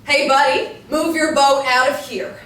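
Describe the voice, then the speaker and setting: A woman's voice: sits high, steady tone, measured pace, a bit bright. Woman playing brash rich wife, echoey room. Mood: outraged. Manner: blunt, combative. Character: brash, foul-mouthed wealthy younger wife. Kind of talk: staged script reading. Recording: echoey room, distant mic, faint noise.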